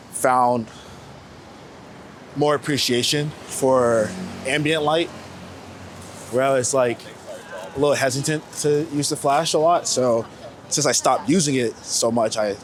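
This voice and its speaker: A man in his late twenties talking casually and reflectively in a rambling, slangy way, like an interviewee out on a walk. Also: medium pitch. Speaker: street photographer being interviewed. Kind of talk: casual walking chat. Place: outdoors on a New York City street. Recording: outdoor city street noise. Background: faint traffic and urban ambience.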